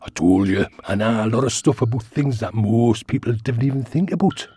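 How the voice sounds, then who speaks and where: An actor playing a cheeky, chatty giant talking worm in a radio sitcom, with a gruff, husky Geordie voice and a blunt, rambling manner. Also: male voice, mid-range voice, normal speed, warm tone, no room sound, lightly acted. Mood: musing. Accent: Geordie, northeast England. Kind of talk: comic radio sitcom acting.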